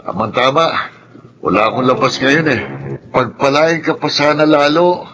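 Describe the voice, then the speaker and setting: A man playing a flattering friend in a radio drama, chuckling and wheedling. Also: medium pitch, moderate pace, noisy background, close to an everyday voice. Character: flattering laughing buddy of the boss.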